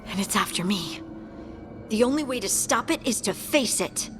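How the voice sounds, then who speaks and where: A woman's voice: medium pitch, expressive, moderate pace, lightly acted. A woman playing an earnest, determined young dwarf scout in a video game, speaking plainly. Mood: resolute. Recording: music under the voice. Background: game music.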